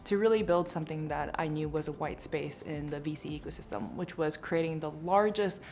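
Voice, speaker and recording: A woman's voice: sits low, brisk, a little mellow. A woman from India giving an interview testimonial as a scholarship recipient.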